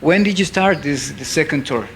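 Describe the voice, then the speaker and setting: An interviewer who is a native Spanish speaker, asking casual questions in halting non-native English. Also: medium pitch, animated, slight background noise. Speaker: interviewer, host.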